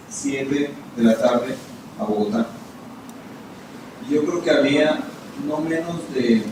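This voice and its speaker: A man from Mexico giving a conversational lecture as a guest speaker, putting questions to his audience. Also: medium pitch. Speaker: guest speaker, business consultant. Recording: echoey hall, distant mic, background noise.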